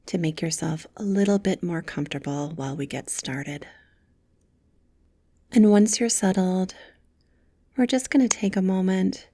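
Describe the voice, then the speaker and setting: Slow, hushed woman guiding meditation. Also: on the low side, no room sound. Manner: soft, breathy, gentle and soothing, often near a whisper. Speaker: guided meditation guide. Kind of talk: guided meditation, gentle instruction.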